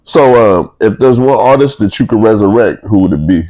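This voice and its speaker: Male podcast host with a deep voice, easygoing and laughing a lot, lively up-and-down delivery. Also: fairly quick. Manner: laughs often, relaxed and upbeat. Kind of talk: casual interview chat.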